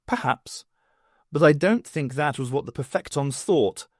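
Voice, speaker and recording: A man playing a pompous, nervy artist, animated. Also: a little high, unhurried, no echo, studio quality. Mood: proud, pompous. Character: nervous, self-important male artist, out of his depth. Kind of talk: audiobook character dialogue.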